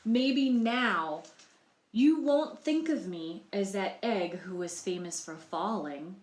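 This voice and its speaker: A woman's voice: mid-range voice, slow. A woman reading a picture book aloud to children, expressively, with explanatory asides and questions for the listeners. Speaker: library storytime reader. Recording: roomy, echoey sound.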